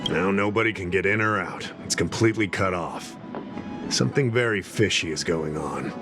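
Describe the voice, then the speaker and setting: A composed male official from a fantasy video game, acted by a man, with a fairly low voice. He explains matters in a measured, earnest manner, like someone briefing a trusted ally. Music plays behind him. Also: lightly acted. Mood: concerned, explanatory.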